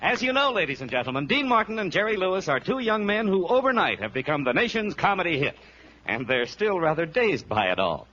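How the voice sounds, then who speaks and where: A man's voice: sits high, big pitch swings, fairly quick, sharp tone, highs cut off. A man announcing a 1940s radio variety show in a formal, projected delivery. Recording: scratchy 1940s radio broadcast, music behind.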